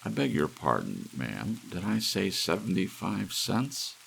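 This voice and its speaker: A man's voice: low voice, measured pace, creaky, pitched above the performer's own voice, heavily acted. A man voicing a rattled young male druggist in an audiobook, muttering hesitantly or bursting out in bewildered outcries. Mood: uncertain. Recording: steady hiss of soft rain.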